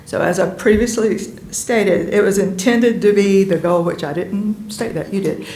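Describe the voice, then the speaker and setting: A woman's voice: medium pitch, moderate pace, warm tone, roomy sound, low-quality recording. A woman on the utility staff presenting slides to the board, speaking casually with many fillers. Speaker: utility staff filling in for a manager.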